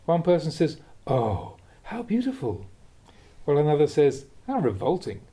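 Expressive, mellow male reader of spiritual teachings. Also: fairly high pitch, slightly rough. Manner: measured, expressive, with rhetorical questions. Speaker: Buddhist monk reading a teacher's talks. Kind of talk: read-aloud Dhamma talk, conversational delivery.

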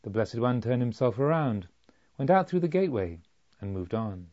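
A man reading a literary story as a narrator, with measured, deliberate phrasing. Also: mid-range voice, sing-song, dark tone. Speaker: audiobook narrator. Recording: slightly dull, lo-fi.

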